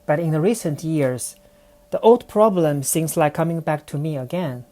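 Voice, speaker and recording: A woman's voice: deep voice, moderate pace, warm tone. A woman from China with a Chinese accent, speaking English as she tells a personal story on her own podcast in a reflective, careful way. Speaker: podcast host.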